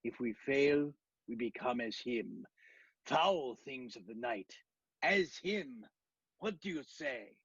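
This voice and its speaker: A grave older professor who hunts vampires, with a very husky voice and dramatic swings of pitch. He declaims solemnly and commandingly in stilted, foreign-sounding phrases, as in a radio play. The recording is dull, with music behind. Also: animated, takes it slowly, fairly bright tone, no room sound, full character voice. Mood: grave, rallying.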